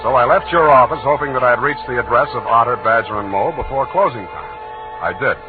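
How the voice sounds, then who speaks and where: An actor playing a wry, hard-boiled detective in a deadpan 1940s radio drama style. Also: male voice, medium pitch, quick pace, close to an everyday voice. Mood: wry narrating. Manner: dry, deadpan wisecracking. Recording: tinny old radio recording, music behind.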